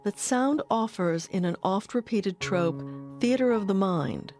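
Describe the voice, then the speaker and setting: A woman's voice: medium pitch, a little slow. A woman reading scripted narration as the narrator of a documentary. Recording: clear music bed under voice. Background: music.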